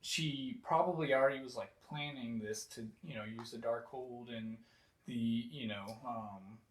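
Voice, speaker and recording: A man's voice: mid-range voice, measured pace. A young man talking casually on a film review panel, rambling with many fillers. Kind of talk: casual group chat. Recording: roomy echo.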